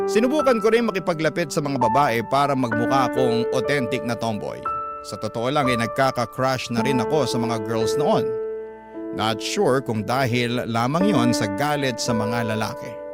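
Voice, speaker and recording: A man with a Filipino accent reading a personal letter aloud as dramatic first-person radio narration. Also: mid-range voice. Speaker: storytelling radio host. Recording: loud music under the voice. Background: loud instrumental music.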